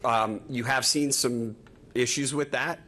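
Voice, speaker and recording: A man's voice: mid-range voice, steady tone, unhurried, bright, forceful tone. A man on county staff answering a commissioner's question at a hearing, hesitant with many fillers and restarts. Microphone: podium microphone.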